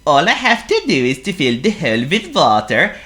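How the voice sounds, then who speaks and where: A woman's voice: sits low, very animated, normal speed, fairly bright tone, voice pushed up. A woman reading aloud and voicing a polite, simple-minded male seal in a children's storybook, in an earnest, courteous manner.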